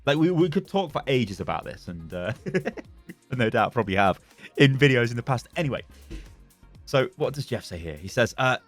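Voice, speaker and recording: A man's voice: medium pitch, big pitch swings, normal speed, faint music behind. A young British man chatting casually as a gaming YouTuber, rambling and laughing as he reads and reacts to forum posts. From Britain.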